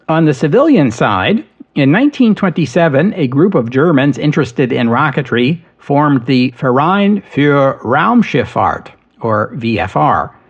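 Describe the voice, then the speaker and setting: A man tells history in a measured, steady way, reading from a prepared script at a middling pitch. The recording sounds slightly dull and lo-fi. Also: breathy edge. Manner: measured, steady storytelling delivery. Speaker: history podcast host.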